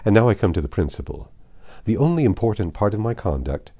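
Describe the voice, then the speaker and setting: A man narrating a classic novel aloud as an audiobook reader, in a measured, formal and expressive way with stressed phrases. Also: fairly low pitch.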